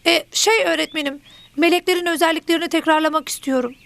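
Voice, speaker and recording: A woman's voice: very high voice, fairly even intonation, fast talker, creaky, fairly bright tone, heavily acted. A woman voicing an earnest, polite schoolchild in an educational cartoon. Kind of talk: scripted educational cartoon dialogue.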